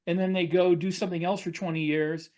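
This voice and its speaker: A man's voice: high voice, flat delivery, fast talker, a little mellow. A middle-aged man co-hosting a casual panel discussion, explaining his opinions at length. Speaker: podcast co-host.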